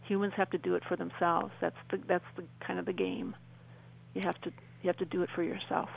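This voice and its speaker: A middle-aged woman explaining earnestly as a radio interview guest, halting and repeating phrases for emphasis. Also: on the low side, big pitch swings, vocal fry, slightly rough, poor audio.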